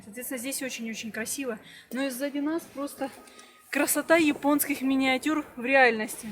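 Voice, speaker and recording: A woman's voice: high-pitched, steady tone, brisk, fairly bright tone. A woman vlogger chatting casually about the sights on a trip, fond of diminutive words. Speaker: travel vlogger. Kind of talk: casual travel vlog chat.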